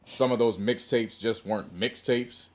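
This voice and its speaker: A man's voice: mid-range voice, steady tone, normal speed. A man co-hosting a podcast, talking casually and slangily, giving firm opinions on music. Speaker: podcast co-host.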